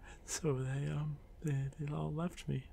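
A man voicing a shy, haunted drider monster boy in an ASMR fantasy roleplay, stammering softly and often slipping into breathy whispers. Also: fairly high pitch, little pitch movement, unhurried, a little hiss or hum. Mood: tearful, ashamed. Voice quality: soft, breathy, creaky.

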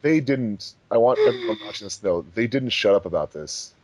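Low-voiced man in casual commentary. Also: animated, fairly quick, a little mellow, breathy edge, no echo. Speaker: fandub director and commentator.

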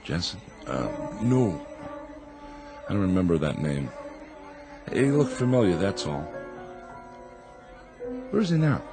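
An uneasy male psychiatrist in a radio drama. His voice sits very low yet moves with lively inflection, and music plays clearly behind him. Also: takes it slowly, long pauses, exaggerated voice. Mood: uneasy, curious. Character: uneasy male psychiatrist having a drink at a bar.

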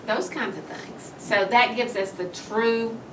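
A woman, a judge giving earnest interview answers with quick restarts and laughing asides. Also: on the high side, steady tone. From Tennessee. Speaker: juvenile court judge, guest. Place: office with bookshelves, indoors. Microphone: far camera. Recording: roomy, distant, noisy.

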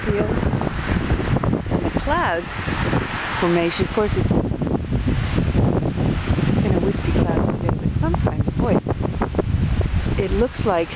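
A woman's voice: medium pitch, lively intonation, takes it slowly, long pauses. A woman chatting casually as she films her walk past canals and fields, rambling with many restarts. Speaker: vlogger filming her walk. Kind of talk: casual walking commentary. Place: outdoors by canals and fields on a windy day. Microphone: handheld camera mic. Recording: muffled camera audio with loud wind noise.

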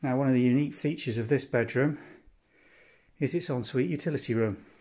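A man with a mid-pitched voice walks through a cottage with a handheld camera, listing furniture and fittings one by one. His delivery is relaxed and itemizing, with pauses as he moves between rooms. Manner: unhurried, descriptive, pausing between items. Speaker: holiday cottage owner giving a tour. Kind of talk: guided walk-through house tour. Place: inside a cottage, walking room to room.

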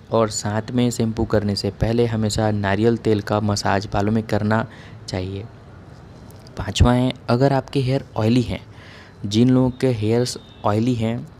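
Low, mellow man explaining methodically. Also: a little hiss or hum. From India. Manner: plain, instructive, methodical. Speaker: dermatologist giving hair-care advice. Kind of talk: educational explainer, point by point.

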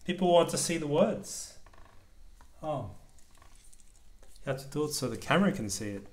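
A man reading a children's storybook aloud as a parent, with casual asides and a deliberate, sing-song delivery. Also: fairly high pitch, very animated, a little mellow.

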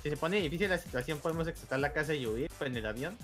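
A woman's voice: sits low, expressive, quick pace. A young woman chatting casually and playfully as a co-player during a game, in brief replies. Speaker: gaming co-player. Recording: music behind the voice. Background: music.

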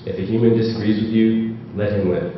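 A man's voice: lowish voice, level tone, a little fast, slight background noise. A man speaking from the floor at a panel event, hesitant with many fillers, reading quotes aloud with more force. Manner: hesitant with many ums, rising and louder when quoting. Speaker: audience member. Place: large auditorium. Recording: echoey hall from far off, dull.

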